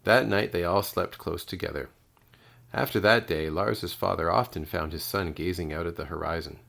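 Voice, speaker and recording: A man's voice: deep voice, normal speed, vocal fry, fairly bright tone. A man reading children's storybooks aloud expressively, like a kindergarten teacher.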